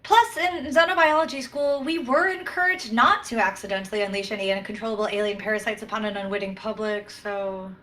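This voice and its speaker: A woman's voice: mid-range voice, brisk, hardly any pauses, bright, forceful tone, a little hiss or hum, lightly acted. A woman playing an indignant, pouty, brainy ship science officer in a comedic sci-fi play, prim and huffy in manner. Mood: resigned.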